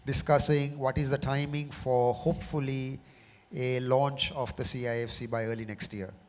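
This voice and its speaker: Hoarse Sri panelist, middle-aged man, measured and halting, long hall reverb. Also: mid-range voice, fairly even intonation. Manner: measured, often repeats words as he restarts. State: slightly hoarse from a cough. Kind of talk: panel discussion. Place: large conference hall.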